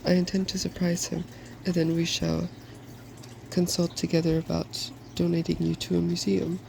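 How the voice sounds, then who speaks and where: Creaky, soft-spoken, self-assured young woman scholar, as a narrator reads her. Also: medium pitch, monotone, normal speed, slight background noise, poor audio. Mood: cheerful, decided. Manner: cheerfully bossy. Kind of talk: soft-spoken storybook character reading.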